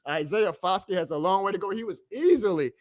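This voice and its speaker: A man hosting a live sports reaction stream, excitable and emphatic, his voice going hoarse. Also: high voice, fairly quick, dry recording, studio quality. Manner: excitable, emphatic, plugs merch, reads chat aloud. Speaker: sports fan livestream host.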